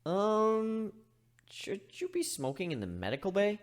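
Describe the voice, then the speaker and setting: A man's voice: high-pitched, huge pitch swings, a little slow, voice pushed up, exaggerated voice. A man voicing an anxious, easily flustered young male griffin in a story reading. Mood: uneasy. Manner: dramatic, flustered.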